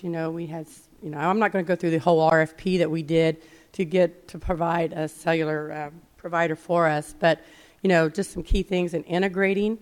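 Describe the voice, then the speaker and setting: Low-voiced woman losing her voice. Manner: chatty, self-correcting, many fillers. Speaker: conference presenter. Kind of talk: conference talk. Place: conference session room.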